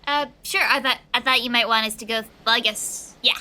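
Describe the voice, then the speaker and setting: A woman's voice: fairly high pitch, fairly even intonation, fairly quick, sharp tone. A woman playing a tabletop game, narrating casually as she improvises.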